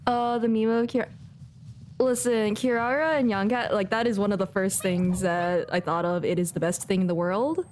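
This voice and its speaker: A woman's voice: mid-range voice, fairly even intonation, normal speed, a little mellow, a little hiss or hum. A woman streaming a video game, chatting casually in a playful, giggly, rambling way. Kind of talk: casual livestream chat while playing a game.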